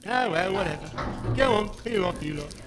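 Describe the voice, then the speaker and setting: A man's voice: sits high, lively intonation, moderate pace. A man voicing a grumbling, cheeky old working-class robot with a British accent, blokey and sarcastic, in a comic audio drama. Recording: echoey hall, crowd noise behind.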